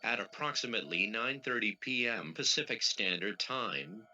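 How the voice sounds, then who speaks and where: A man's voice: fairly low pitch, expressive, normal speed, sharp tone. A synthetic male text-to-speech voice reading a scripted emergency alert in a formal, mechanical way. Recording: lo-fi audio with music behind.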